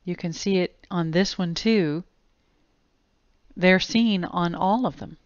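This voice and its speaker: Slow, smooth-voiced woman narrating gravely. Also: lowish voice, no room sound. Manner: deliberate, grave. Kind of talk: scripted explanatory video narration. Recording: dull lo-fi close dry voice-over.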